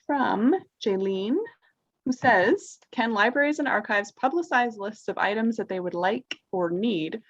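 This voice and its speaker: Woman moderator with a gravelly voice. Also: mid-range voice, a little slow, dry recording. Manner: friendly, reads out audience questions. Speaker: roundtable moderator. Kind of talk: online roundtable discussion. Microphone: video call. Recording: dry, dull lo-fi video call audio.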